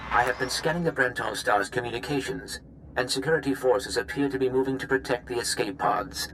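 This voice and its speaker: A man's voice: medium pitch, brisk, a bit bright, a little hiss or hum, natural voice, low-quality recording. A man voicing a polite, coolly efficient protocol droid in a video game, speaking formally and precisely. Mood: polite, advisory. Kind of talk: scripted game voice acting.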